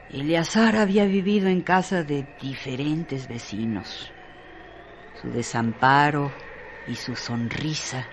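A man narrating a literary radio drama in a solemn, deliberate, dramatized way. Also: medium pitch. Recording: noisy radio drama recording.

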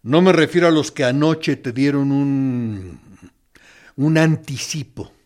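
An actor playing a military captain interrogating a prisoner in a radio play, condescending and falsely sympathetic, with deliberate pauses. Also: male voice, medium pitch, a little mellow, close to an everyday voice. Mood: insinuating, self-justifying. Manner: condescending, mock-friendly, deliberate with pointed pauses. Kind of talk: dramatic radio play monologue.

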